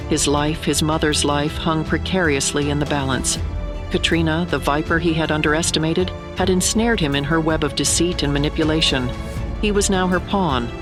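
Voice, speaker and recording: Dramatic audio story narrator, mid pitch, suspense trailer style, music clearly under it. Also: moderate pace.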